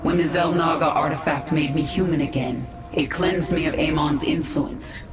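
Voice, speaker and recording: A woman playing a commanding, brooding alien-human swarm queen in a game, with measured intensity and an echoing effect on the voice. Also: low-pitched, fairly even intonation. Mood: defiant, proud. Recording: echoing, with soundtrack music behind.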